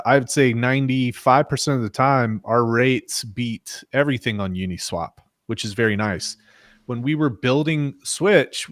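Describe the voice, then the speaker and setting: Casting: man, mid pitch, loose chatty guest, rambling speculative delivery, dry close mic. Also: no room sound. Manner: rambling, speculative, many restarts. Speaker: guest, crypto project builder. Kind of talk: casual crypto podcast chat.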